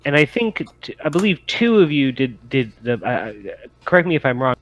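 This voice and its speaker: A man's voice: fairly high pitch, sing-song, normal speed, a bit bright. A man talking casually as game master of a tabletop game, in a hesitant, conversational way.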